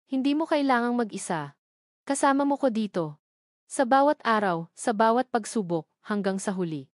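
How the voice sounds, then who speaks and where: A woman's voice: a little high, lively intonation, moderate pace, no room sound, studio quality, natural voice. A woman playing a tender, determined young woman in love in a romantic audio drama. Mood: pained, devoted.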